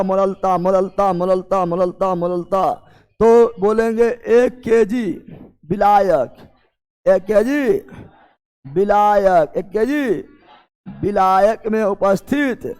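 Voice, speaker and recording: A man's voice: high voice, a little mellow. A man with a Bihari accent teaching an exam coaching class, repeating key terms and putting check questions to his students.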